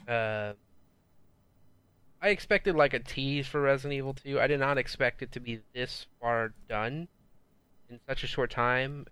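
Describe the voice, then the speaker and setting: Man with a smooth, resonant voice. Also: medium pitch, no room sound. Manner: relaxed, hesitant, repeats words. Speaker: podcast co-host. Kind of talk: casual gaming chat.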